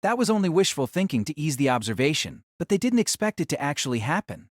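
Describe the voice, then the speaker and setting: Higher-pitched man narrating a dramatic story. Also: sing-song, fast talker, no echo, clean studio sound.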